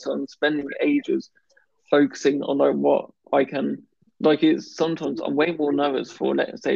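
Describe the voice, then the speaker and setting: A man chatting casually in a flat, gravelly voice, stammering and restarting on certain words. The sound is dry and a little dull, with no room in it. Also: mid-range voice, no echo. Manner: stammers, blocks on some words, many restarts. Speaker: guest talking about his stammer. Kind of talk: casual chat.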